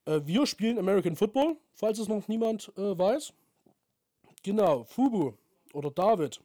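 A man in his twenties co-hosting a casual podcast, halting and searching for words. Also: high-pitched, expressive, dark tone, no room sound, studio quality. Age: 20s. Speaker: podcast co-host and football coach.

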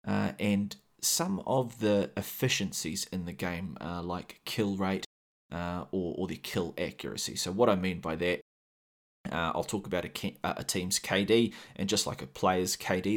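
A man with a New Zealand accent hosting a solo gaming podcast, explaining things at a measured pace with many "uh" fillers and restarts. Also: sits low. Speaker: podcast host.

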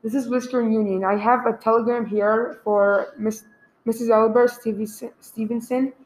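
A woman playing a courteous, formal telephone clerk in a radio play, speaking in a measured, official way. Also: a little high, steady tone, a little mellow, close to an everyday voice, low-quality recording. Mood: businesslike.

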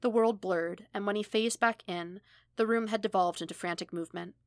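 A woman's voice: medium pitch, steady tone, normal speed, dry recording. Dry-sounding woman narrating fiction. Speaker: fan fiction audiobook narrator. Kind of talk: scripted narration.

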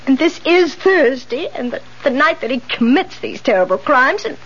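An actress playing an anxious, fretful rooming-house landlady with a breathy voice in a 1970s radio drama. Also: sits high, lively intonation, fairly bright tone. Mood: upset, fretful. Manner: fluttery, halting, anxious. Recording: dull 1970s radio recording.

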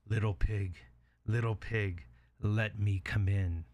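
A man voicing a sly, hungry wolf in a storybook, with a slow, drawn-out, coaxing delivery. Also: on the low side, fairly even intonation, darkish tone. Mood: menacing. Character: sly, hungry male wolf trying to trick a pig.

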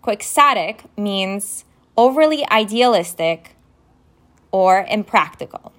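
A woman whose first language is Romanian, hosting a podcast and going slowly through vocabulary words, spelling each one letter by letter. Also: medium pitch, expressive, fairly bright tone. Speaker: podcast host. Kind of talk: vocabulary lesson, casual spelling and definitions. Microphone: phone app recording.

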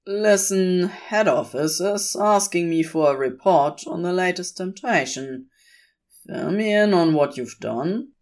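Audio story role: cool male demon , read by a woman, casual, roguish, lowish voice. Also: lively intonation, a little slow, darkish tone. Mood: brisk, casual.

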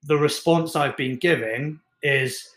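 A man's voice: on the high side, slow, a bit bright. Earnest man in an echoey room. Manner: earnest, reflective, encouraging. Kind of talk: motivational talk on mindset.

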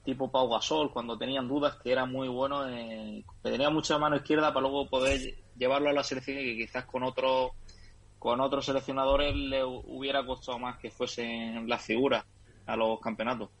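A man joins a casual basketball chat to add details. His voice is husky and sits at a middling pitch. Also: little pitch movement, brisk, highs cut off.

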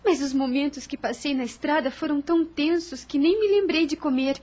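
A woman's voice: high-pitched, brisk, a little mellow, natural voice. An actress playing a young woman who inherited a farm in a radio drama, speaking in a composed, formal way that turns curt when she is displeased. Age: young adult. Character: Maria, young woman heir of a farm, gentle but firm.

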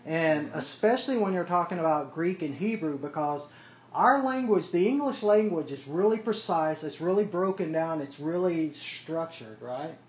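A man's voice: fairly high pitch, animated, normal speed. A man leading a small Bible study, explaining word-study tools step by step and checking in with the group as he goes. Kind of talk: casual teaching to a small group. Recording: echoey room, faint background noise.

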